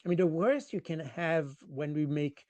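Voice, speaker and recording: Slow-talking older man, English speaker. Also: a little high, lo-fi sound, a crowd in the background. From Switzerland. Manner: halting, repeats words while searching for phrasing. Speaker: retired military intelligence analyst, interview guest. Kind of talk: spontaneous geopolitical commentary in English.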